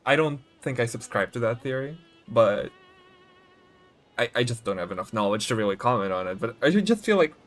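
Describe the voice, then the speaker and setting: A man's voice: medium pitch, expressive, fairly quick. Casual male game streamer muttering reactions. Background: game music.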